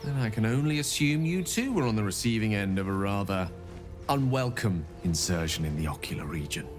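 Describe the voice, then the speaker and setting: An actor playing a genial, verbose wizard who talks in polite, theatrical, elaborate sentences. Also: male voice, fairly low pitch, animated, a little fast, lightly acted. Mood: courteous. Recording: game audio with music.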